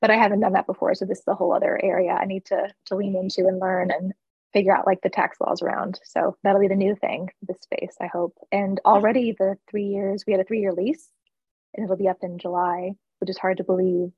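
A woman's voice: mid-range voice, level tone, a little fast, breathy edge, no echo, dull audio. A woman from the East Coast who owns a flower shop, talking candidly in a casual interview with fillers and laughs. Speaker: florist and shop owner.

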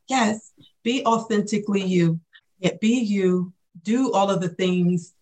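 A woman's voice: fairly low pitch, low-quality recording. A woman in her forties, a business coach talking in a casual podcast chat, enthusiastic and often tagging "right?" onto her points.

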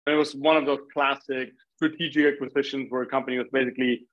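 A man's voice: medium pitch, flat delivery, fast talker, muffled recording. A man from Austria with an Austrian accent, talking casually as a founder being interviewed on a podcast. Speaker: startup founder, podcast guest.

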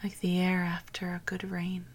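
A woman guiding a meditation in a soft, partly whispered voice. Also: on the low side, monotone, moderate pace. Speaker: meditation guide. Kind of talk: guided meditation.

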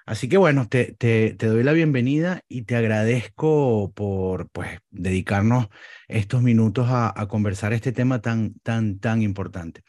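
A man's voice: medium pitch, darkish tone, no room sound, studio quality. A man hosting a podcast, reading an introduction and then interviewing his guest politely, with frequent hesitant fillers. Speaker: podcast host.

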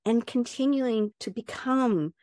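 A middle-aged woman, an HR professional and advisor, talking in an interview and acting out quotes with lively jumps in pitch. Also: slow pace, no echo, clean studio sound. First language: English. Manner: animated storyteller, acts out quotes with big pitch jumps. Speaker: guest, HR professional and certified advisor. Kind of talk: conversational interview.

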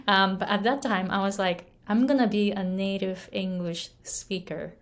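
A woman's voice: medium pitch, fairly even intonation, moderate pace. A young adult woman from Japan with a Japanese accent, an English pronunciation coach speaking earnestly and chattily to camera, often tagging "right?" and laughing lightly. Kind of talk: casual motivational talk to camera.

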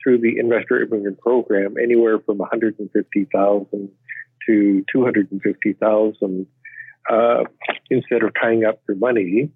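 Measured man on a tinny phone line. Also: on the low side, steady tone, husky, no echo. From Canada. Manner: measured, hesitant with many uh's. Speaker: podcast guest, immigration expert. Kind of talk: interview answers.

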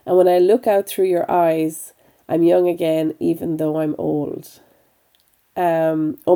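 A middle-aged woman hosting a motivational podcast, slightly croaky this week, talking with eager, encouraging warmth and plenty of fillers. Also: fairly low pitch. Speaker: podcast host. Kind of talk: upbeat motivational podcast talk.

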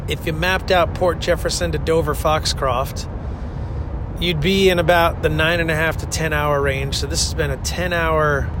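A man with a slightly high voice records a casual vlog from inside a moving car. He talks informally and rambles, halting and picking up again as he recaps. Road and engine noise runs clearly behind him. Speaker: touring worship musician, show host. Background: vehicle and road noise.

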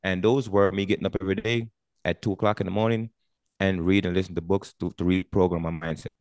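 A middle-aged man speaks quickly and with emphasis, his low voice rolling from point to point. He repeats words as he gathers his thoughts. The sound is dry and close. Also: no echo. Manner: energetic, emphatic, repeats himself while thinking. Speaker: guest, retired army veteran and coauthor. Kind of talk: casual podcast conversation.

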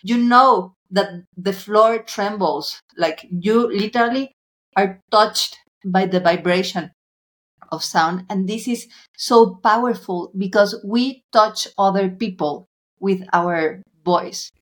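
A woman's voice: medium pitch, slow pace, a bit bright. A woman hosting a podcast, speaking in a reflective, affirming way. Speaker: podcast host. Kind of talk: casual podcast conversation.

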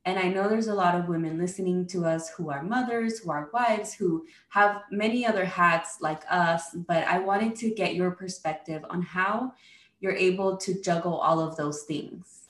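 A woman's voice: on the low side, normal speed, roomy sound. A woman hosting a podcast, enthusiastic and welcoming, who mixes Spanish words into her English. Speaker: podcast host. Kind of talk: upbeat podcast interviewing.

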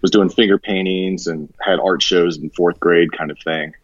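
A man's voice: deep voice, steady tone, normal speed, dry recording, dull audio. A man in his thirties chatting informally as an interview guest, slangy and self-deprecating. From grew up mostly overseas in Japan. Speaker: podcast guest, branding designer. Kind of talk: casual interview conversation, personal anecdotes.

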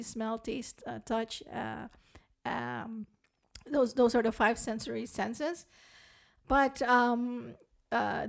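A Dutch woman co-hosts a podcast in English, her fairly high voice carrying a gravelly rasp. She speaks hesitantly, with frequent ums and restarts. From Netherlands. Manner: hesitant, many fillers and restarts. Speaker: podcast co-host. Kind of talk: casual podcast talk.

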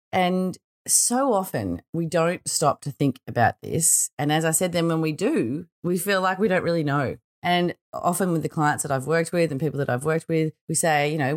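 Low-voiced Australian woman podcaster. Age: middle-aged. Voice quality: slightly croaky early-morning voice. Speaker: podcast host. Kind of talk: informal solo podcast monologue.

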